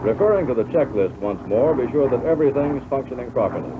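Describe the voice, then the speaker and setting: An American man reading scripted instructional narration for a 1940s military training film in a measured, instructive way. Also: medium pitch, sing-song. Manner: measured, instructive, addressing the listener as pilot. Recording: tinny band-limited 1940s film soundtrack, loud noise.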